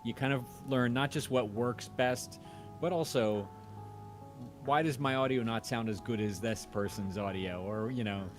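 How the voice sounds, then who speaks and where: A man in casual conversation, rambling and halting in a modest, self-effacing way. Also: mid-range voice, faint music behind. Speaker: audio drama creator and podcast host; university audio teacher. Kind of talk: casual chat.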